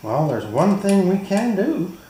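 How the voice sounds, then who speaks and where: A man's voice: high-pitched, sing-song, a little slow, dark tone, low-quality recording. A man hosting a workshop video, chatting casually and joking with viewers while he works in a garage. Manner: chatty, self-mocking, talks to viewers while working.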